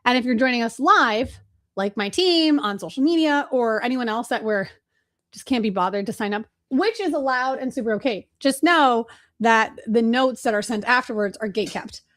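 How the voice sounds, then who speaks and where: Energetic fast-talking woman hosting a webinar. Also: a little high. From Chicago. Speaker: webinar host and agency trainer. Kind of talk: live webinar teaching.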